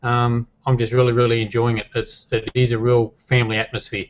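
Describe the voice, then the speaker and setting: A man giving a casual testimonial on a call, relaxed and enthusiastic, with many ums. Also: mid-range voice, a little fast, dull audio. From Australia. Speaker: guest member.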